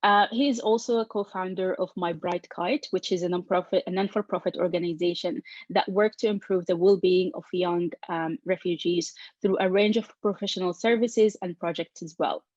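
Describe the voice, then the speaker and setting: A woman facilitator introducing guests formally, speaking in a halting way with many restarts. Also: on the low side, fairly even intonation, darkish tone, dry recording, lo-fi sound. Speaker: event facilitator.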